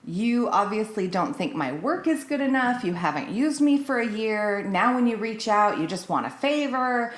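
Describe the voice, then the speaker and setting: A woman's voice: mid-range voice, animated, moderate pace, hardly any pauses. A woman telling personal anecdotes in casual conversation, acting out what was said, with a roomy echo on her voice. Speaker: podcast speaker. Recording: roomy, echoing sound.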